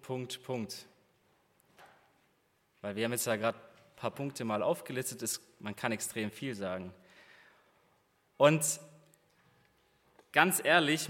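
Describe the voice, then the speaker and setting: A man's voice: mid-range voice, a bit bright. Chatty young man in a reverberant hall. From Germany. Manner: casual, chatty, many fillers and rhetorical questions. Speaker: youth group leader. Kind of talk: interactive talk to a youth group.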